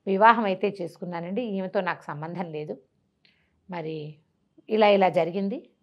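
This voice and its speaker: A storytelling woman voicing a meek young husband who confides in a low-key, resigned way. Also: normal speed, lo-fi sound, natural voice.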